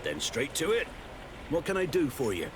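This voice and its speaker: An actor voicing a chummy, easygoing sailor in a video game with a familiar, informal manner. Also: male voice, a little high, wildly swooping pitch, normal speed, noisy background. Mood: friendly, wry.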